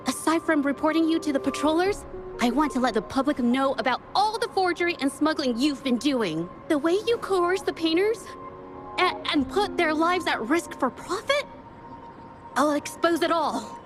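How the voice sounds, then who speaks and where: A woman's voice: high-pitched, animated, normal speed, music in the background. A woman playing an earnest, righteous young woman in a video game, speaking with sincere and determined conviction. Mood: nervous, resolute. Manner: impassioned, sincere, hesitant but determined.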